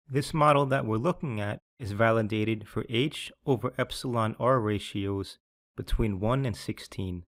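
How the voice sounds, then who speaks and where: A man reading a scripted software tutorial in a measured, explanatory way. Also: on the low side. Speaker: software demo narrator.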